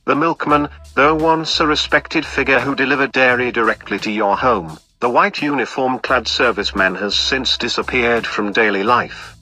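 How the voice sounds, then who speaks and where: Bright, forceful male radio narrator. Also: mid-range voice, normal speed, few pauses, faint music behind. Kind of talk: scripted documentary-style radio narration.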